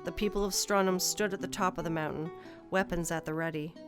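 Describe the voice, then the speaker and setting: A woman's voice: on the low side, steady tone, fairly quick, music in the background. A woman narrator reading a scripted fantasy story.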